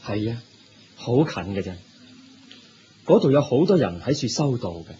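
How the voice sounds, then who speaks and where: Guy playing a calm kindly buddhist sage, soft dark tone, hong kong cantonese radio drama, lo-fi with faint noise. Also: mid-range voice, moderate pace, natural voice. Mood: kindly, serene.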